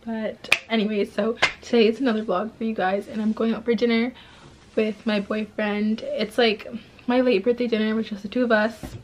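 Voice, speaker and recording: A woman's voice: on the high side, flat delivery, normal speed, a little hiss or hum. Chatty young woman losing her voice. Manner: chatty, says "like" and "oh my gosh" often. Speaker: vlogger. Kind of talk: casual vlog chat. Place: bedroom, then inside a car. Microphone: handheld camera at arm's length.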